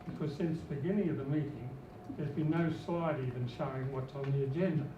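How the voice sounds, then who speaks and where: An older man with a hoarse voice, speaking as a resident at a public meeting, blunt and rambling. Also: mid-range voice, fairly quick, distant mic, muffled recording. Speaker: resident asking questions. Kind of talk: public meeting question from the floor. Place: civic centre meeting hall. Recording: echoey hall, noisy audience.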